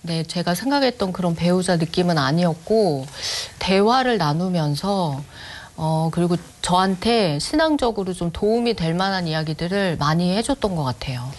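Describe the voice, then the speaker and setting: Polite woman with a lowish voice. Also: expressive, normal speed, hardly any pauses. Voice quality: low-toned voice. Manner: polite, deferential formal speech. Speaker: interview guest. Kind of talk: conversational personal testimony.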